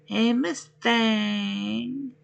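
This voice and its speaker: A man hosting a relaxed livestream, greeting viewers one by one while his voice is going hoarse and rough. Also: very high-pitched, lo-fi sound. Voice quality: rough, gravelly. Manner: unhurried, friendly greetings between pauses. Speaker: livestream host. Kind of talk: relaxed gaming stream chat, greeting viewers.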